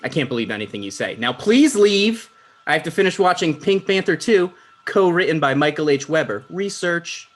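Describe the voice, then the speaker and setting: A man's voice: fairly high pitch, lively intonation, moderate pace. A man playing a self-important, insecure would-be talk show host, dismissive and argumentative, in a comedy table read. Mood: defensive.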